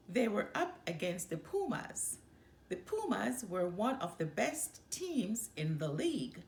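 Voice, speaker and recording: A woman reading a children's picture book aloud in an expressive, measured storytelling manner. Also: medium pitch. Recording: echoey room with faint background noise.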